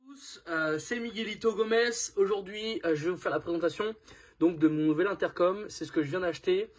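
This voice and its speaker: A man's voice: sits high, fairly quick, husky. A man presenting a product in a casual hands-on tutorial, informal and thinking aloud as he goes.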